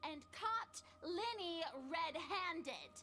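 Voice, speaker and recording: Haughty young female deity, high, bright, pompous, theatrical accuser, game dialogue. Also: expressive, extremely slow, a little hiss or hum, exaggerated voice. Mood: accusing, assured. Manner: pompous, declamatory. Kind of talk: video game voice acting.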